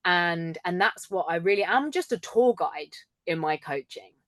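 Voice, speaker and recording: A woman communication coach with a deep voice, chatting in a podcast in an animated way and often laughing. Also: moderate pace, no room sound. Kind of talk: casual podcast chat.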